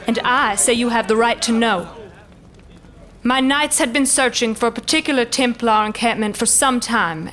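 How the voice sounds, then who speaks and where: A woman's voice: on the high side, a bit bright, natural voice. A woman playing a noble elven commander in a fantasy audio drama, speaking in formal, stately oratory.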